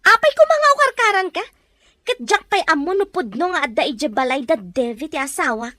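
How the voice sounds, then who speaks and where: A woman's voice: high voice, sing-song, a little fast, bright, forceful tone, no room sound, studio quality, heavily acted. A woman playing a harsh, domineering madam in an Ilocano audio drama, commanding and scornful. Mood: suspicious, taunting. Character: harsh, domineering madam interrogating and threatening her captive.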